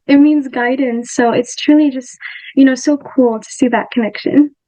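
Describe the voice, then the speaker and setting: Young woman hosting a podcast, kinda high but soft and mellow, upbeat, lots of ums. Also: steady tone, normal speed, poor audio. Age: young adult. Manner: warm, enthusiastic, with um fillers. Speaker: podcast host. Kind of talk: reading a guest introduction, then interviewing.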